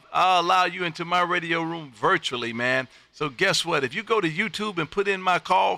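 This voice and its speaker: A man's voice: high voice, creaky, sharp tone, no room sound. An American man hosting an amateur radio livestream, chatting casually in a folksy, effusive way. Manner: folksy, effusive, peppers talk with friendly address. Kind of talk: casual ham radio chat.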